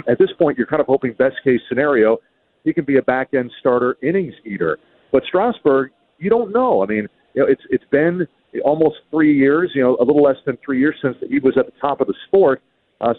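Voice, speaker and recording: A man's medium-pitched voice comes through a narrow, tinny connection. He gives sports analysis as a radio guest, often doubling back on his words as he builds a point. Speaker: baseball radio play-by-play announcer, guest. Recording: muffled narrow-band radio call-in line.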